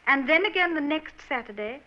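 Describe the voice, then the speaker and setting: A woman's voice: high voice, lively intonation, fairly quick. A nervous young Victorian lady. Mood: worried. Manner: hesitant, breathless and genteel. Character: anxious young Victorian woman, polite and earnest. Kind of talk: 1940s radio acting. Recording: dull old radio broadcast.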